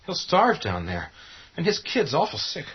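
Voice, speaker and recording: An actor playing an earnest young man, little more than a kid, in a horror radio drama, with a husky voice. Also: fairly high pitch, huge pitch swings, normal speed, dull audio, full character voice.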